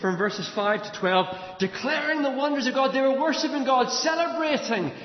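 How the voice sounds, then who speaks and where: A man's voice: very high-pitched, animated, moderate pace. A man with an Irish accent preaching a sermon, retelling a passage as dramatic storytelling with repeated words and rhetorical questions. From Ireland. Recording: echoey large hall, slightly dull sound.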